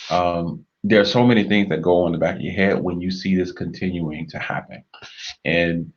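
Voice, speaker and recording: A man co-hosting a marriage talk show, speaking in a measured, reflective way and often murmuring agreement. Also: low voice, fairly even intonation, muffled recording. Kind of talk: earnest conversational discussion.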